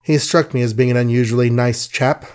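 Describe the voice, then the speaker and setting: Kindly, awkward gentleman for a novel, done by a male reader: gentle, hesitant, medium-pitched. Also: steady tone, a little fast, clear, resonant tone, close to an everyday voice. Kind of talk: audiobook character acting.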